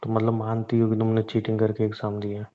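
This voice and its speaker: A man's voice: lowish voice, completely flat delivery, fast, dull audio, lightly acted. A man playing a teasing, exasperated husband in a casual, conversational domestic audio drama. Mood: teasing, argumentative. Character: teasing, exasperated husband bickering with his injured wife. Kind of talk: improvised-sounding domestic audio drama, casual Hindi banter.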